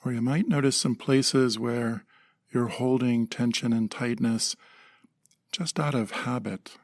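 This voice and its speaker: A man leading a guided meditation in a calm, gentle manner, in short measured phrases with pauses. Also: mid-range voice, vocal fry, no room sound, clean studio sound.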